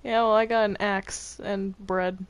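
A woman's voice: mid-range voice, steady tone, measured pace, clear, resonant tone. A woman chatting casually while gaming with friends, playful and teasing, often repeating her words. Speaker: gamer in a group game session.

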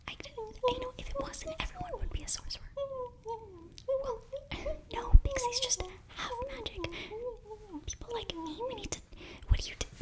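Young woman in flustered ASMR whisper. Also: very high voice. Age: young adult. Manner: flustered, apologetic, halting student sorceress act. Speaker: ASMR performer. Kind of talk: whispered ASMR fantasy roleplay. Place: small indoor bookshelf set. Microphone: very close ear-to-ear microphone.

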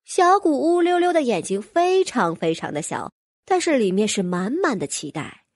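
A woman's voice: fairly high pitch, big pitch swings, moderate pace, a little mellow, no room sound, studio quality. A woman reading scripted fantasy fiction expressively as an audiobook narrator.

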